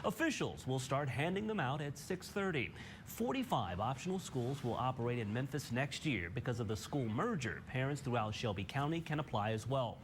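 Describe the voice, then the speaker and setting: A man's voice: medium pitch, sing-song, normal speed, slight background noise. An American man anchoring morning television news, reading scripted stories in a studio.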